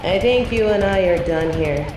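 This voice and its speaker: A woman's voice: lowish voice, lively intonation, moderate pace, reverberant, music in the background, close to an everyday voice, low-quality recording. A woman playing a smug, bored sorceress turned journalist in an audio drama, with a sardonic, condescending way of talking. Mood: smug, dismissive. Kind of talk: audio roleplay drama acting.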